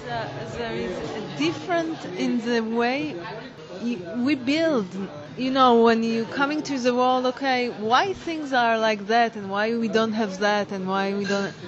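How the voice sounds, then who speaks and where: A woman, a dance teacher, answers interview questions in hesitant, searching English. The audio is dull and lo-fi. A crowd chatters in the background. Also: on the high side. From Israel. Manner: halting, searching for words in non-native English.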